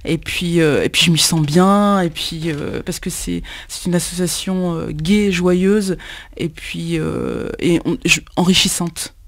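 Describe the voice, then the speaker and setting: A woman from Kinshasa, a musician guesting on a radio show, talking in a halting way with many pauses and repeated words. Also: lowish voice, a little hiss or hum. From Congo, Kinshasa. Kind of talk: radio interview, hesitant conversational speech.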